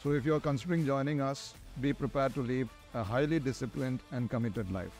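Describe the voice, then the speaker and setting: A man's voice: mid-range voice, a little fast, darkish tone. Middle-aged Indian man giving prepared answers. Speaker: guest, air traffic controller in charge. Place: large glass-walled airport tower room. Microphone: lapel. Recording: music under the voice. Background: background music.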